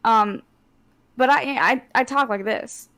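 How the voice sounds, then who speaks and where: A woman chatting on a live stream through a close personal microphone, halting and restarting her sentences. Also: fairly high pitch, bright, forceful tone. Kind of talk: casual chat.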